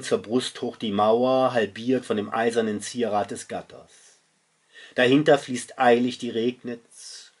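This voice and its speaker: A middle-aged man reciting his own literary poetry in a solemn, dramatic and deliberate manner. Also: mid-range voice, darkish tone, husky. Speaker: poet reading own work.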